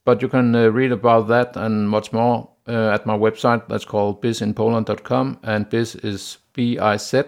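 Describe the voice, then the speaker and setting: A man from Denmark with a Danish accent, hosting a solo business podcast in a steady, plain way with frequent "uh" pauses. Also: on the low side, creaky. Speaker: podcast host.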